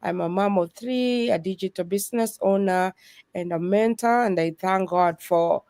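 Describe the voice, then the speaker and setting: A woman's voice: medium pitch, normal speed, dry recording, clean studio sound. A woman from Kenya, a guest sharing her faith and business story in an earnest, testimonial way. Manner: earnest, testimonial, with soft laughs. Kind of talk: faith-based conversation.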